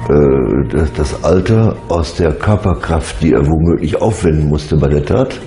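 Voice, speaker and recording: A man explaining something haltingly in a documentary interview. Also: very deep voice, warm tone. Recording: roomy echo, music underneath. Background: music.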